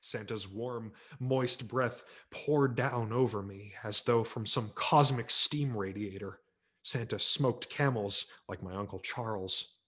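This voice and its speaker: A man narrating a humorous story aloud in a wry, expressive reading style for a virtual event. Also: on the low side, takes it slowly. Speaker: audiobook narrator. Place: home setup for a virtual event.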